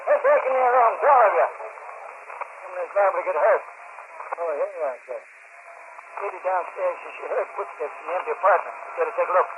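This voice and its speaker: Brisk high-voiced cop, old radio. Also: male voice, lively intonation. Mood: urgent, brisk. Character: brisk, dutiful policeman on a manhunt. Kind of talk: old-time radio drama acting. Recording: noisy, tinny old radio recording.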